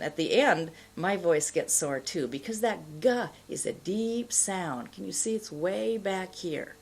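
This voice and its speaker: Slow, lively American woman teaching pronunciation. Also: on the low side, husky. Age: middle-aged. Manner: deliberate, careful enunciation, pausing between words. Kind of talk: instructional lesson to camera. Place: indoor studio.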